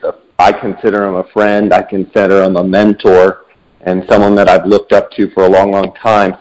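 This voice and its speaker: Man, podcast host, low-pitched, little pitch movement, conversational, muffled recording. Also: a little mellow.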